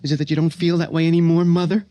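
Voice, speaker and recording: An actor playing a grief-stricken, bitter young son in radio drama, with anguished, stammering outbursts. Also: male voice, fairly high pitch, flat delivery, racing, dark tone, muffled recording, natural voice. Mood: bitter, defiant. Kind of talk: old-time radio drama acting.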